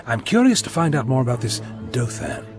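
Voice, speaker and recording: Curious male traveller, played by an actor, courteous and analytical, very varied pitch, music behind him, audio drama. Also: big pitch swings, brisk, close to an everyday voice. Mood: intrigued. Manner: inquisitive, courteous.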